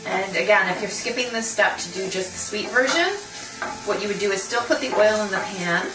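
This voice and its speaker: A woman presents a cooking demonstration, walking through each step like an instructor. Her voice sits at a medium pitch. It is picked up from a distance in an echoey room, with music and kitchen sounds behind her. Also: brisk. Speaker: food designer and cooking host. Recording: roomy echo, distant mic, music behind.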